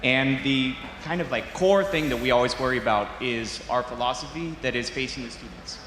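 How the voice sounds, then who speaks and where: A man's voice: mid-range voice, fairly quick, fairly bright tone. Male audience questioner, mid voice, earnest, echoey hall, faint noise. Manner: earnest, thinking aloud. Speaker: audience member asking a question. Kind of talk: question from the floor. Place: large conference hall.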